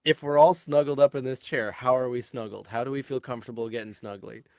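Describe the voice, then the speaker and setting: A woman's voice: a bass voice, fairly even intonation, quick pace, a little mellow, no room sound, studio quality. A woman playing a tabletop game over a call, joking and bantering with the group. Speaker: player.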